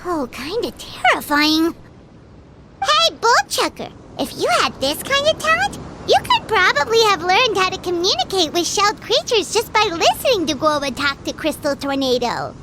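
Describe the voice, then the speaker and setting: A woman's voice: extremely high pitch, big pitch swings, normal speed. A woman voicing a small, childlike, sassy and fretful fairy companion in a video game, exclaiming as she talks. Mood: teasing. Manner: refers to self in third person, exclaiming. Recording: heavy background noise from game footage.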